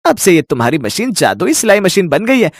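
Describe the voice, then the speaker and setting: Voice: mid-range voice, big pitch swings, fairly quick, no echo, studio quality. A performer voicing a kindly magical talking parrot in a cartoon story, earnest and encouraging.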